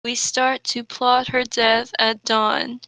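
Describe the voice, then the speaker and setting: A woman co-hosting a comedic read-aloud, halting over the text and adding exasperated asides. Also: fairly high pitch, level tone, pushed and bright, no echo, rough recording. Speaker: co-host reading fan fiction aloud.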